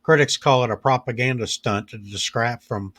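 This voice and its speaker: Raspy man reading news with a cold. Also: mid-range voice, a bit bright, dry recording. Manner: reads bulletins, then drifts into halting, filler-heavy asides. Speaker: news channel host. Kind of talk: reading news headlines with loose asides.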